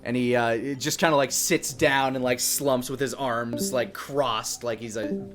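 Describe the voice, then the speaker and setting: Male game master, medium pitch, halting improvised scene description for a live tabletop RPG. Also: fairly even intonation, over music. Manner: halting, pieces descriptions together. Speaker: dungeon master, host.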